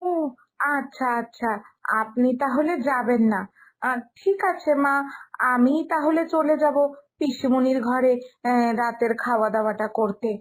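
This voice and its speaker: Woman acting young cartoon daughter-in-law, high voice, dutiful, considerate, polite, slightly hesitant. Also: measured pace. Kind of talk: cartoon story dialogue.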